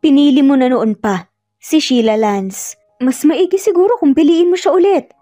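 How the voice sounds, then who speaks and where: A wounded, guarded young woman reproaches an old love in a dramatic romance tale, as a woman storyteller acts it. The voice is fairly high but mellow, over music. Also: moderate pace, no room sound, clean studio sound, natural voice. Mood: hurt, guarded.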